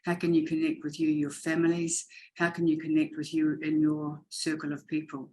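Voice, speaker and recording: A woman in her fifties from New Zealand with a New Zealand accent, whose first language is Māori, speaking to educators as a panelist with an earnest, steady manner. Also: deep voice, normal speed, a little hiss or hum. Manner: earnest and steady, with repeated rhetorical questions. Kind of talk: warm motivational talk.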